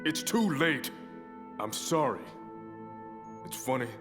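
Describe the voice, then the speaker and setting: A fatalistic bomb disposal expert in a video game. His earnest, rueful voice swings widely in pitch. It echoes in a hall over clear music. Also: very animated, slow. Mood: resigned. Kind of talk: video game drama acting.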